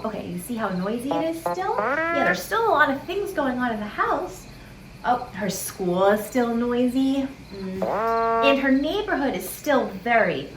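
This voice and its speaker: Very high, animated woman reading to children. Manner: expressive, sing-song, childlike reactions and drawn-out words. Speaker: children's story-time host and reader. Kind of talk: picture book read-aloud with chatty asides to children and a puppet. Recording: echoey room with clear background noise.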